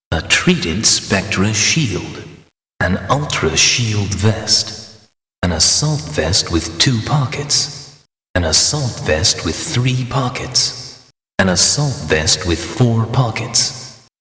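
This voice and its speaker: A man reading scripted item names for a video game in a deliberate, matter-of-fact way. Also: fairly low pitch, a bit bright, echoey room, big-room sound. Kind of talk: scripted item-list narration.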